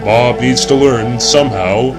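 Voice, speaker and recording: A man's voice: medium pitch, unhurried, music in the background, low-quality recording. A young man reading scripted narration in the earnest, formal announcer style of a 1960s educational film. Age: young adult.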